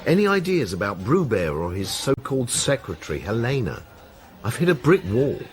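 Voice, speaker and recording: An actor playing a weary, disgruntled but decent police detective in a radio drama, blunt and sweary. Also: male voice, medium pitch, very animated, normal speed, lightly acted. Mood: placating.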